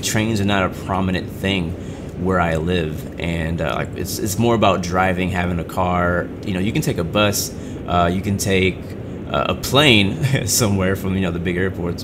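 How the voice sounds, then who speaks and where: A man rambling casually while playing a game, with lots of fillers and restarts. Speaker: gaming channel host. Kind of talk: casual gameplay commentary. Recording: game audio rumble behind.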